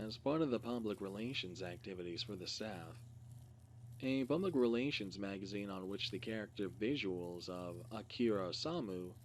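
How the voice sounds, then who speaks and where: A man reads a scripted case file as narration, in a halting, word-by-word way. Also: on the low side. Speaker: reader. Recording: clearly noisy recording with hum.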